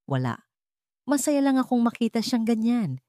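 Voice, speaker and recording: A woman with a Filipino accent playing a repentant, gentle rich father in an audio story. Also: on the high side, very animated, moderate pace, no room sound, clean studio sound, voice pushed up. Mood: tentative, sincere.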